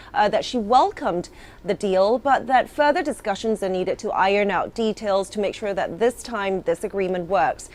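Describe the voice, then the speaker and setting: A woman news correspondent delivering formal, measured broadcast reports. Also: mid-range voice, darkish tone.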